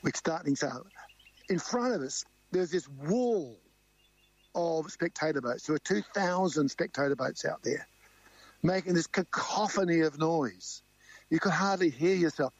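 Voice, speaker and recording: A loud-voiced man recounts a tense race with lively energy, quoting his crewmates as he goes. The sound is dry and slightly dull, with no room around it. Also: a little high, unhurried, no room sound. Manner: animated, vivid storytelling with quoted crew talk. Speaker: sailing crew member guest. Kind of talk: storytelling interview.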